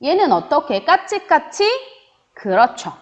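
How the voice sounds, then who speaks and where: A woman's voice: sits high, measured pace. A woman teaching a recorded grammar lesson, asking and answering her own questions in a rising and falling teacherly tone. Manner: lively, rising and falling teacherly sing-song, voices student questions herself. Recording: dull lo-fi recording.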